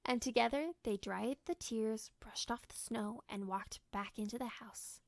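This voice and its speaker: A woman narrates a storybook aloud with expression. Her voice sits fairly high and has noticeable creak, and her manner is gentle and deliberate. The sound is close and very dry. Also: no echo. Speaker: storybook reader.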